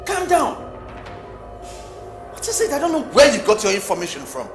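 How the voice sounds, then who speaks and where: A man's voice: mid-range voice, huge pitch swings, racing, creaky. A man voicing a husband who firmly protests intruders harassing his wife. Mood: protesting. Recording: roomy echo with music.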